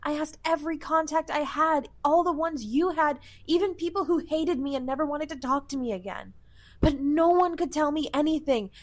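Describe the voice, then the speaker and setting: Casting: actress as loving, protective young best friend for fantasy audio drama, high-pitched, soothing and sassy. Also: female voice. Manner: tender, soothing, sassy endearments.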